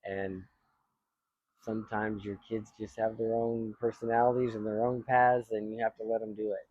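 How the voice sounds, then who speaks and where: A man's voice: fairly low pitch, little pitch movement, moderate pace, no echo, muffled recording. A man from Texas in his fifties, a tennis coach co-hosting a casual chat in an easygoing, self-deprecating way. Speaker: tennis coach, channel co-host.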